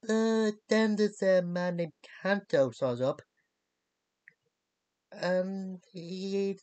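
Young male vlogger for a casual recap: unhurried, halting, disjointed phrasing, dry sound. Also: high voice, a little mellow, smooth, no echo. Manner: halting, disjointed delivery, searching for words. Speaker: reviewer of animated series. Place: small cluttered bedroom, indoors.

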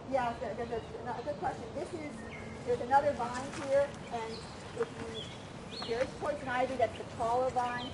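A middle-aged woman with a somewhat high voice guides a nature walk. She explains informally, with halting starts. The outdoor camera recording is very noisy, with birds behind her. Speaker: walking tour guide, plant educator. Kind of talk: informal outdoor talk and answering questions. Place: outdoors in a wooded riverside park. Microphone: camera among the crowd, a few metres off. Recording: outdoor camera recording with loud background noise.

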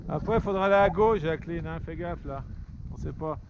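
A man with a high voice calls out short, repeated, encouraging commands as he coaches his children down the slope. He is filming with an action camera while he skis outdoors. The sound is dull and lo-fi, with faint wind behind him. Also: a little fast, gravelly. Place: outdoor snowy mountain ski slope and forest. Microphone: action camera worn while skiing.

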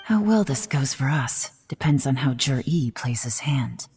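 A woman's voice: rumbling low, animated, a little slow, darkish tone, soft background music, full character voice. A woman playing a cool, shrewd investigator in a video game, composed and knowing. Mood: cool, watchful.

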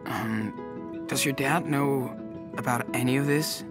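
A young man playing a caring, protective older teen brother who speaks softly and hesitantly, in a video game cutscene. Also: medium pitch, slow pace, music in the background, close to an everyday voice. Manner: soft-spoken, hesitant.

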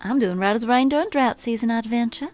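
A woman's voice: fairly high pitch, brisk, a little mellow, soft background music, natural voice. A woman voicing a flirtatious belle in a video game, calling everyone sugar and darling. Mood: teasing. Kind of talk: video game character dialogue.

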